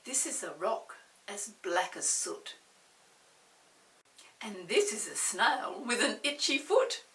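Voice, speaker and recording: Older woman storyteller, very unhurried, roomy distant recording, faint hiss, reading to little children. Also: medium pitch, expressive, a bit bright. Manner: unhurried, pausing to describe pictures and ask questions. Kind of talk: read-aloud picture-book storytelling for small children.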